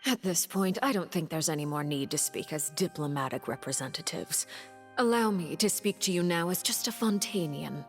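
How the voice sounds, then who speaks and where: A woman playing a cold, composed diplomat in a game, speaking in a measured, formal, sardonic and pointed way. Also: fairly low pitch, expressive. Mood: cold, probing. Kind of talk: dramatic game voice acting. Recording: music under the voice.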